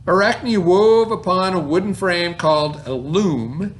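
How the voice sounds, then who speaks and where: A male schoolteacher with a slightly high voice gives an informal read-aloud lesson. He explains in a conversational way, as if to a child, and often checks that the listener is following. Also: unhurried, a little hiss or hum.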